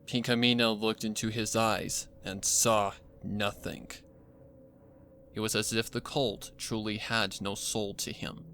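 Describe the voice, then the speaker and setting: A man reading a dark horror story as a dramatic scripted narration. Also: fairly low pitch, level tone, a little slow, soft background music. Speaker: fan fiction narrator.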